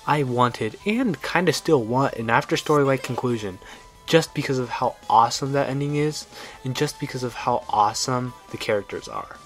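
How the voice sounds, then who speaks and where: A man's voice: mid-range voice, moderate pace. A man narrating a scripted video game review in an enthusiastic, opinionated way. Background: background music.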